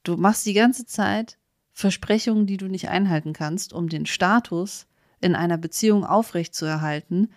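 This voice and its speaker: A woman's voice: fairly low pitch, moderate pace, no echo, studio quality. A woman co-hosting a podcast in casual, conversational discussion. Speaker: podcast co-host.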